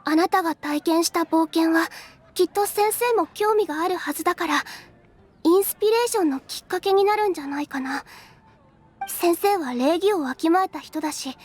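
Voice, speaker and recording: A woman's voice: very high voice, rapid-fire. A woman playing a sweet, earnest young alchemist's assistant in a video game. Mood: admiring, concerned. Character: sweet, earnest girlish alchemist's assistant who admires her teacher. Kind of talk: video game character acting.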